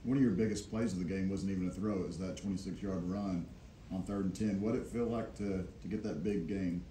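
Low mellow guy reporter, quick question, echoey room. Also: slight background noise. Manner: plain, direct questions. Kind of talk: press conference questions.

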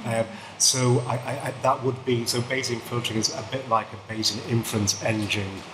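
A middle-aged man with an English accent, a quiet, soft-spoken academic panelist who restarts his sentences often. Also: medium pitch, little pitch movement, fairly quick. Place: seminar room with a panel table. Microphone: table microphone. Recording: echoey room with background noise.

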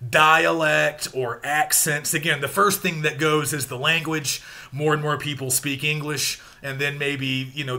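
An American man gives an informal literature lecture in a bright, crisp-edged voice pitched a little high. He explains conversationally, checking in as he goes, recorded at desk distance in a room at home. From the American South. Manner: explanatory, conversational, checking in with "right" and "you know". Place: small home room, indoors.

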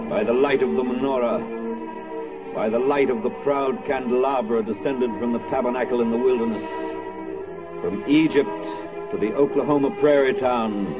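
An actor playing a solemn, rousing wartime narrator, declaiming in an oratorical radio drama style. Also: male voice, mid-range voice, lightly acted. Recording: muffled 1940s radio recording.

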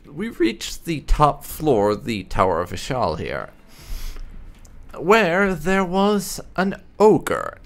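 A man's voice: medium pitch, creaky. A man hosting a gaming video, giving casual playthrough commentary in a dry, sardonic way.

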